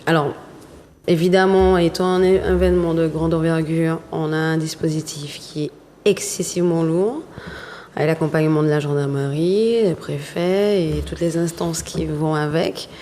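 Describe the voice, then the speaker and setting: A woman with a lowish, mellow voice explains event rules in a brisk, matter-of-fact manner. Her voice trails into a long hall reverb with faint hiss behind it. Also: steady tone. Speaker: event organizer, guest. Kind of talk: explanatory interview answers.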